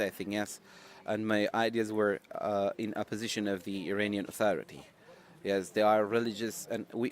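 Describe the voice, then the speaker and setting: A man from the Kurdish region of Iran, a former English teacher, answering interview questions hesitantly with many fillers and repeated words. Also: lowish voice.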